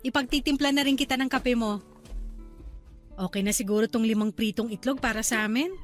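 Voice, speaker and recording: An actress with a Filipino accent playing an affectionate, shy young newlywed wife in a radio drama. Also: female voice, fairly high pitch, fairly quick, music in the background, lightly acted. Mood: tender, reassuring.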